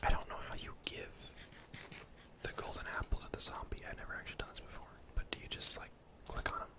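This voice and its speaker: Casting: young male gaming streamer, whispered ASMR, casual and rambling, close-miked. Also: fast talker. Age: young adult. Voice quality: soft, breathy whisper. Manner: casual, rambling, thinking aloud. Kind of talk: whispered ASMR gameplay commentary.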